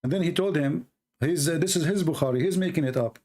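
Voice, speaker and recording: A man's voice: a little high, fast, darkish tone. A man with an Arabic accent, a native Arabic speaker from the Middle East, hosting a talk show in blunt, mocking casual commentary with broken English grammar. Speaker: debate show host.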